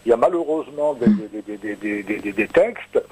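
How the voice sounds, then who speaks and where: An older man, a singer-songwriter whose first language is Kabyle, talking in a halting way with many repeated words in a radio interview. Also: medium pitch, expressive, a little mellow, breathy edge, low-quality recording. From Normandy, France.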